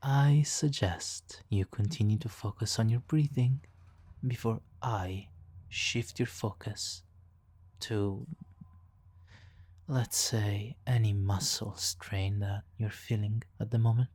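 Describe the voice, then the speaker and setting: Man voicing a slow, smug, flirtatious supervillain. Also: lowish voice, darkish tone, no echo. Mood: hypnotic, commanding. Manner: teasing, mock-polite, savoring pauses, chuckling often. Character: smug, flirtatious male supervillain toying with a captured hero. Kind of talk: ASMR roleplay, slow intimate monologue. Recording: faint background noise.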